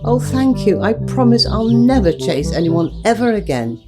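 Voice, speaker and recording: A woman's voice: on the high side, big pitch swings, normal speed, over music, voice pushed up. A woman voicing a forlorn, sly male cat in a children's story, pleading and grateful. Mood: relieved, grateful.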